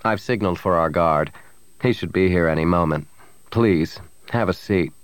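A man's voice: deep voice, normal speed, smooth, no room sound, pitched below the performer's own voice, close to an everyday voice. A man voicing a smug, easily frightened bank manager in an audiobook. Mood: smugly polite. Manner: bland politeness turning to desperate pleading.

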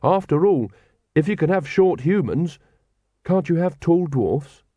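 Man reading innocent tall youth, eager, bewildered, lifted pitch, dry read. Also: animated, unhurried, warm tone, dry recording, lo-fi sound. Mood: earnest. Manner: earnest, bewildered. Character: naive, earnest, very tall young man raised by dwarfs.

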